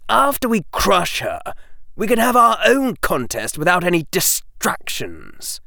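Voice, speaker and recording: A man's voice: wildly swooping pitch, unhurried, vocal fry, bright, forceful tone, no echo, clean studio sound, exaggerated voice. A man voicing a scheming, arrogant teenage boy wizard in a raised, sneering voice. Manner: higher, sneering, wounded superiority.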